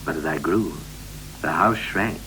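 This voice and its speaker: An actor playing a wistful British husband recalling his boyhood in clipped, poetic verse with deliberate pauses, in the style of a 1960s radio play. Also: deep voice, pushed and bright, low-quality recording. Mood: wistful, incantatory. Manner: incantatory short phrases, deliberate pauses. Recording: vintage 1960s radio recording with hum and hiss.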